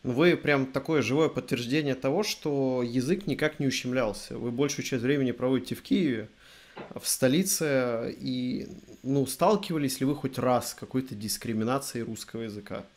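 Young man, host, interviewer, calm measured questions, webcam audio. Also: mid-range voice. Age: young adult. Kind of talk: video call interview. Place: indoor room with framed pictures on wall. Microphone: webcam on video call.

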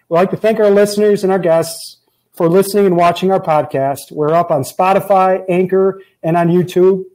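A man in his forties hosts a health podcast from his office, upbeat and joking as he rolls through long introductions. His voice sits a little high yet stays warm and soft-edged. It comes through an earbud mic on a video call. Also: poor audio. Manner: upbeat, jokey, reads intro lists. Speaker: podcast host, chiropractor. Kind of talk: casual interview chat. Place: office with framed diplomas, indoors. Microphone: earbud headset on a video call.

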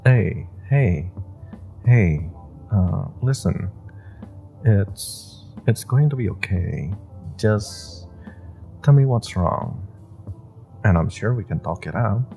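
Nerdy superhero played by a man for audio roleplay; nervous and halting, with a gravelly creak and unhurried pacing. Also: fairly low pitch, expressive, mellow, faint music behind, full character voice. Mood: gentle, reassuring. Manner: halting, flustered, stammering. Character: nervous, nerdy young male superhero, flustered and earnest.

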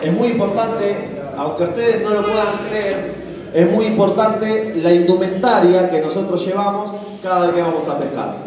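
A man's voice: high voice, normal speed. A middle-aged man with a Rioplatense Argentine accent hosting a live event informally over a PA microphone. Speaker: event host. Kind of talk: informal live presenting to an audience. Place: large hard-floored club hall with seated audience. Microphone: handheld microphone over PA, recorded by a distant camera. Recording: echoey hall PA, distant, noisy crowd. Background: crowd noise and room ambience.

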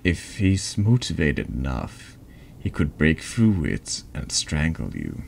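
A man with a very deep, gravelly voice reads an interactive horror story in an ASMR style. His delivery is slow and halting, tripping over the odd word. He sits close to the microphone with some ambient noise behind him. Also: big pitch swings, darkish tone. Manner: halting, stumbles over words. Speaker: streamer reading a text adventure game aloud.

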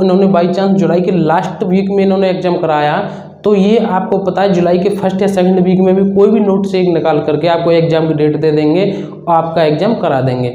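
A young man with a high, mellow voice coaches students informally and earnestly, going back over his points. The recording is echoey and a little muffled. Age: 20s. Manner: repetitive, earnest advising. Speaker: exam-preparation coach and video host. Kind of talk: informal explainer talk to students. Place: small indoor room with whiteboard. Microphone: clip-on lapel mic. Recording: echoey room, dull muffled sound.

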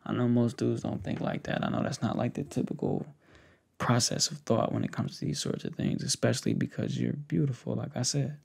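A young American man speaks in a soft, conversational ASMR style. His voice is heavy with vocal fry and sits at an ordinary male pitch. He takes his time, pausing and hesitating as though confiding in one person close by. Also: steady tone. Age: 20s to 30s. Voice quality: gravelly, fry-laden. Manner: unhurried, hesitant, pausing talk addressed intimately to one listener. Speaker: ASMR creator. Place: small indoor setup with cloth backdrop. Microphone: close desktop condenser mic with pop filter.

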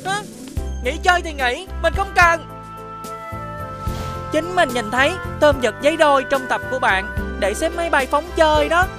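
Cartoon dub: stubborn, tattling little kid, high expressive voice, music underneath. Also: female voice, moderate pace, pauses often. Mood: defiant. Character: stubborn young schoolchild called Kem, quick to tattle. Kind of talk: children's cartoon dubbing. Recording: music behind the voice.

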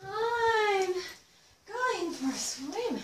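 A woman leading a child through a playful movement session, chanting gently and encouragingly in a sing-song way. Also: extremely high pitch, lively intonation, extremely slow, slight background noise. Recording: echoey room, distant mic.

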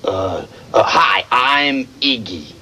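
A bashful cartoon lizard, voiced by a man, stammering and hesitant. His pitch jumps wildly while he talks slowly, and the voice has a husky edge. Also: big pitch swings, bright, forceful tone, heavily acted. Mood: timid. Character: shy, good-natured male lizard named Iggy.

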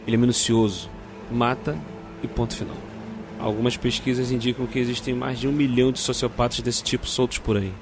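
A wry, composed male police detective , played by an actor, from a radio play. His voice sits at an average pitch. Light music runs under his words. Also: little pitch movement, brisk, lightly acted. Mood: grave, explanatory. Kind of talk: audio drama acting.

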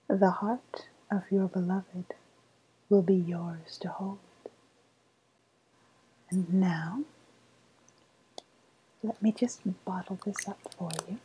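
Breathy whispering woodland witch, played by a woman. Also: medium pitch, warm tone, low-quality recording. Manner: slow, savouring, coaxing delivery. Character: sly woodland witch, cooing, knowing, faintly menacing. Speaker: ASMR roleplay performer. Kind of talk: softly spoken ASMR roleplay.

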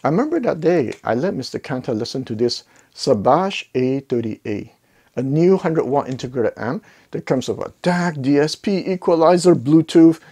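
A man's voice: on the high side, lively intonation, moderate pace, warm tone, slightly rough. A middle-aged man hosting a casual product review to camera, talking in a conversational, emphatic way into a lapel mic. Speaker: host of an audio gear review channel. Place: living room listening space with acoustic wall panels. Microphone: clip-on lapel mic.

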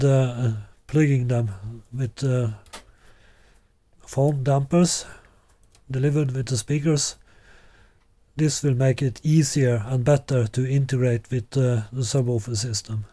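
A man in his sixties presenting a product in an unscripted way, halting and deliberate with long hesitations. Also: medium pitch, fairly even intonation, dark tone, no room sound. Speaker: loudspeaker designer presenting a product. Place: small living room, indoors.

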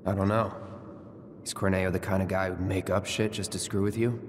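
A man's voice: low voice, level tone, a little fast, lightly acted. A young American man playing a cool, aloof mercenary in a video game, laconic and dry. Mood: cool, deadpan. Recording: long reverb, faint noise and ambience.

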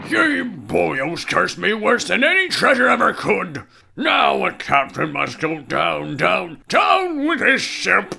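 A man voicing a gruff, hot-tempered old pirate captain in a cartoon, with a gravelly voice and theatrical pirate accent, over music. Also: high-pitched, wildly swooping pitch, slow pace, heavily acted. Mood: furious. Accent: stage pirate. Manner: blustering, theatrical pirate talk. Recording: loud music under the voice.

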